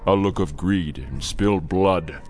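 A grave, resigned older captive, very low in pitch, solemn and measured. It is radio drama, with clearly noisy ambience behind the voice. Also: male voice.

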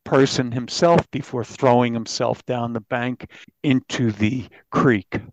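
A man's voice: medium pitch, steady tone, unhurried, no echo. A man speaking as an interviewed reporter, explaining details in a conversational, matter-of-fact way. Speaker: newspaper reporter, interview guest. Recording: dull, band-limited audio.

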